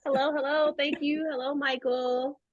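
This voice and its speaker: A woman's voice: sits high, flat delivery, moderate pace, no room sound. A woman chatting casually as an interview guest, candid and enthusiastic in her manner. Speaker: guest, voice actress. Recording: dry, slightly dull audio.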